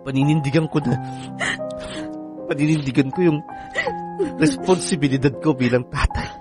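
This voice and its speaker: Weary former seafarer husband played by a man, radio drama, pleading and halting, strong music bed. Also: on the high side, close to an everyday voice. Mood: apologetic, resolute. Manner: halting, pleading, repeats words. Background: background music.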